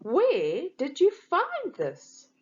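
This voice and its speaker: A woman voicing an expressive, sociable older sister in a children's storybook, on a slightly dull recording. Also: extremely high pitch, extremely slow, a little hiss or hum, pitched above the performer's own voice, heavily acted. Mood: admiring.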